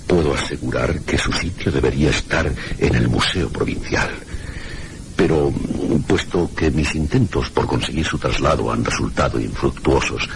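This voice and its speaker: An actor with a Castilian Spanish accent playing a mysterious, scholarly gentleman guide in a radio drama, speaking formally and deliberately in a gravelly voice. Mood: formal, curious. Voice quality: very deep, gravelly. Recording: noisy radio drama recording.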